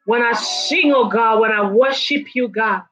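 A woman leading a congregation in prayer and worship, with slow, fervent, drawn-out phrases that slip into singing. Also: on the high side. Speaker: worship leader. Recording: dull lo-fi recording with soft music behind.

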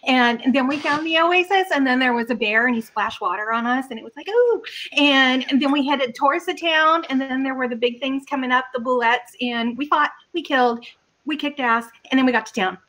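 Excitable, high-voiced woman. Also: fairly quick. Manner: excitable, rambling. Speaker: tabletop game player. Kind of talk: breathless in-character storytelling.

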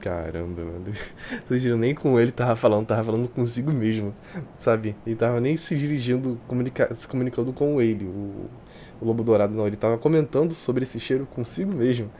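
A man with a Brazilian accent reading a visual novel aloud like a streamer, expressive and colloquial. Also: mid-range voice, a little fast, slight background noise. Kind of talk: dramatic live reading with casual asides. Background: game music.

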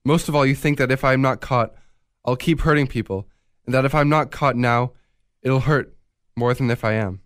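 A man as an anguished thief, radio drama, medium-pitched, agitated, defiant, close dry recording. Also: moderate pace, dry recording, close to an everyday voice. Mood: resolute. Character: guilt-ridden, defensive male thief, stubborn and anguished.

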